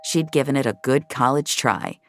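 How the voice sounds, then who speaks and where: A woman's voice: very deep voice, moderate pace. A woman narrating a scripted fiction story as its storyteller.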